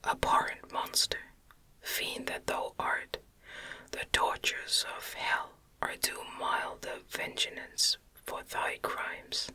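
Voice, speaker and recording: A narrator voicing a furious, scornful male creator of a monster in a breathy ASMR whisper, with archaic, accusing speech. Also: slow, sharp tone. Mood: enraged. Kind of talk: whispered ASMR audiobook acting.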